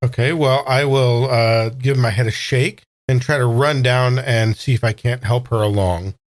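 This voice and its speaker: A man with a medium-pitched voice plays a tabletop game. He talks casually, improvising what his character does. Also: fairly even intonation, moderate pace, no room sound. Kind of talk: casual improvised role-play.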